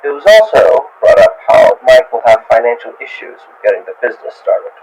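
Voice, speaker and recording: A man's voice: mid-range voice, sing-song, brisk, darkish tone. A man announcing an audio drama, reading a scripted recap as the show's announcer. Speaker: show announcer. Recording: clearly noisy background.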